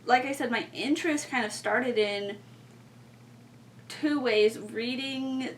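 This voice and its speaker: A woman's voice: fairly high pitch, takes it slowly, distant mic. Young woman, casual conversational explainer, informal rambling phrasing, off-mic sound, faint background noise. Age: young adult. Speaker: podcast co-host and student writer.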